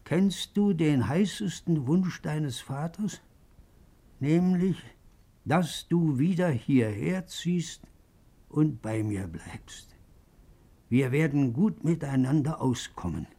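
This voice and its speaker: A man's voice: on the high side, expressive, takes it slowly, dark tone, heavily acted. An actor playing a lonely old father who reads out his pleading, longing letter to his daughter in a 1950s German radio drama. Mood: tender longing. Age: older.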